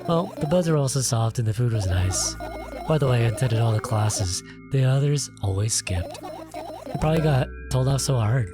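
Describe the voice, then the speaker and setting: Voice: mid-range voice, animated, normal speed, music in the background, natural voice. A game video host voicing a moody, defensive and odd young girl from a horror visual novel. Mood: wistful recollection.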